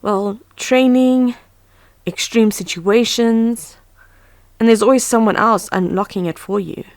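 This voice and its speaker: A woman's voice: on the high side, measured pace, darkish tone, dry recording, clean studio sound. A woman playing an earnest, anxious but composed young huntress in a fan audio drama. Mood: earnest, instructive. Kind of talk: fan audio drama, first-person narration and dialogue. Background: background music.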